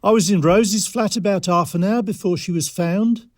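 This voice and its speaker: A mild, apologetic male cleaner played by an actor speaks in an audio drama. His voice is high for a man, and his delivery is polite and simple, with no room echo. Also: moderate pace, studio quality. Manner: deferential, simple.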